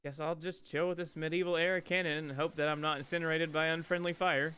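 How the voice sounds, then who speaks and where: A man's voice: fairly high pitch, fast talker, a little hiss or hum. A man reading a visual novel game aloud with playful drama and casual, sweary chat. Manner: animated, casual, profane.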